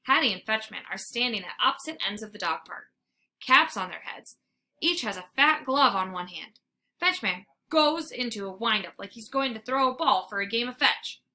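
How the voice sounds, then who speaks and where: Female children's read-aloud narrator: teacherly, expressive, highish and sharply bright. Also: moderate pace. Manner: animated, theatrical.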